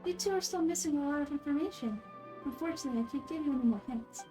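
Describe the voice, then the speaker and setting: A woman voicing a gentle, wise little girl deity from a video game, speaking in a calm, measured, explanatory way. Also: high voice, faint music behind. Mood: gently firm. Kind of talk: video game character dubbing.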